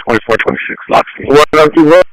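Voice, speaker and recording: A man's voice: medium pitch, sing-song, fast talker, poor audio. A man giving terse dispatch calls over a two-way police radio. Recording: scanner radio with crowd noise behind.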